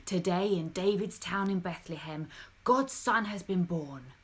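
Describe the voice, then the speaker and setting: A woman reads as a huge angel of light from a children's storybook. He is gentle and reassuring, announcing his news with hushed solemnity. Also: fairly low pitch, unhurried, slightly rough.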